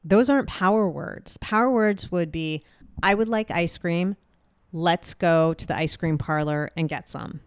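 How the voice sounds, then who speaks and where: A woman hosting a solo podcast, speaking directly and clearly with a booming voice. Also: unhurried. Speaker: podcast host.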